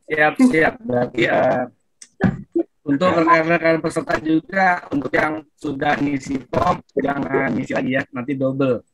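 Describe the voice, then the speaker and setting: A man with an Indonesian accent moderating a video call, brisk and encouraging as he hands the floor to participants. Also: on the high side, flat delivery, noisy background.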